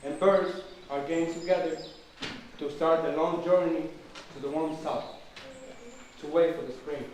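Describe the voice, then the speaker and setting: A man's voice: a little high, fairly even intonation, unhurried, darkish tone, lightly acted. A man playing a kindly old forest king who tells stories in a puppet show. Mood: reminiscing. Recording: very echoey room, distant mic, faint noise.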